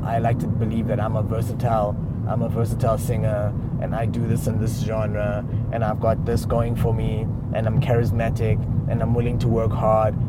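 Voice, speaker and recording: A man's voice: mid-range voice, very noisy. A man from Uganda, giving casual unscripted advice on music careers with lots of fillers and restarts. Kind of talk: casual spoken advice monologue.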